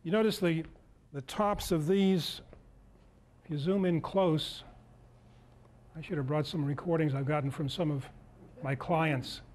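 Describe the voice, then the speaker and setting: A man in his sixties with an American accent, giving an informal, halting demonstration talk and thinking aloud as he presents in a meeting room. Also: fairly high pitch, fairly even intonation, a little mellow, slight background noise. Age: 60s.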